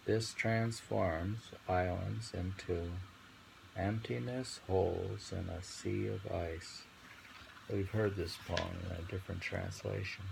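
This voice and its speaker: A man reading poetry aloud and commenting informally, in a deliberate, musing, halting way. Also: low-pitched, steady tone, gravelly, background noise.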